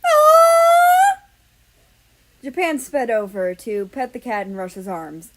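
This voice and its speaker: A woman's voice: mid-range voice, animated, normal speed. A woman narrating a story as a fan fiction reader. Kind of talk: storybook-style narration with acted dialogue.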